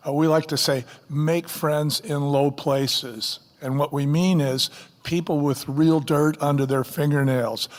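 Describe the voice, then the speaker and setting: An older man, a company co-founder, answering interview questions in a folksy, animated way and acting out quotes. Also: a little high. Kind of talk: fireside chat interview answers. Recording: long hall reverb.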